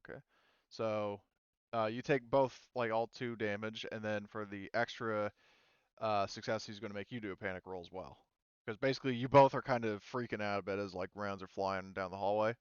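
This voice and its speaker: Halting male game master, very clear voice. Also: lowish voice, fairly even intonation, dry recording, lo-fi sound. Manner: halting, thinking aloud. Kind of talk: running a tabletop roleplaying game, explaining rules.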